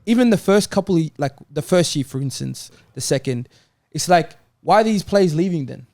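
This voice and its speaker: Male panellist, fairly high voice, casual debating style, tentative and roundabout phrasing. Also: expressive, moderate pace, gravelly, darkish tone. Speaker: football panel show regular.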